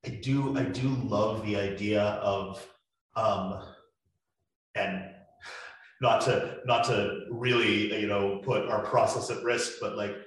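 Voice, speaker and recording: A man's voice: on the low side, fairly even intonation, slow pace. A man from a podcast team on a panel, musing hesitantly. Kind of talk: panel discussion. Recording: echoey room.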